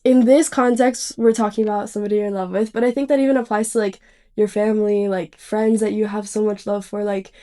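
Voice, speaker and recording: A woman hosting a podcast in a casual, expressive chat, with slangy asides. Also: medium pitch, a little fast. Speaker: podcast host.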